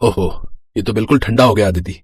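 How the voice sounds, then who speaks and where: A man's voice: fairly low pitch, fast talker, husky, no echo, studio quality. A man playing an easygoing young husband in a cartoon moral story, casual, reassuring and teasing. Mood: unbothered.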